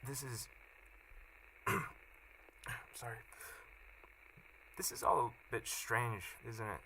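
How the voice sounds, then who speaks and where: A man's voice: mid-range voice, animated, slow pace, vocal fry, noisy background. An actor playing an awkward, apologetic male company official in an audio drama, hesitant and polite. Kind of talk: naturalistic audio drama acting.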